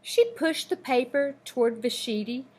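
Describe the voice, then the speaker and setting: A woman reading a children's picture book aloud in an expressive, deliberate, dramatic storytelling manner. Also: sits high.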